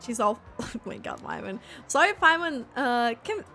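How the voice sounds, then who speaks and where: Animated high-voiced woman game streamer. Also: normal speed, soft background music. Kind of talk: casual reaction commentary.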